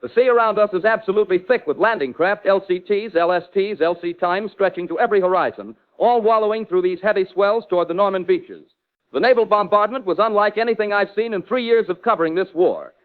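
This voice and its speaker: Through a tinny, narrow radio link comes a high-pitched American war correspondent of the 1940s, played by a man. He is shaken and urgent, giving florid eyewitness reports in the manner of period radio drama. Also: fast. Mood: awed, urgent. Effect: radio transmission filter.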